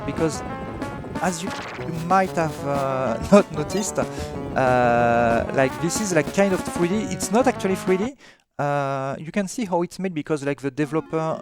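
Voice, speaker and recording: A young man from France with a French accent, hesitantly commentating his live speedrun of a game, with many fillers and restarts. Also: on the high side. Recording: game music playing behind.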